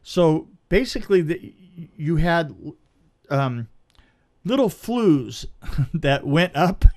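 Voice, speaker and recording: A man's voice: fairly high pitch, sing-song, slow pace, a little mellow. A man telling a casual anecdote as a film crew guest, stammering and repeating words as he goes.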